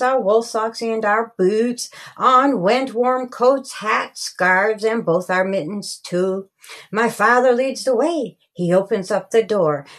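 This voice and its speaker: A woman narrating a rhyming children's story in a deliberate, singsong storytelling manner. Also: on the high side. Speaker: author reading own story.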